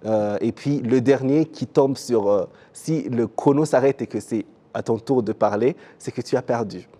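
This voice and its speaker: A man hosting a TV interview show in a relaxed, casual way, with frequent fillers and easy laughter. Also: medium pitch, normal speed, husky. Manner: easygoing, laughs often, many fillers. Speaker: TV show host.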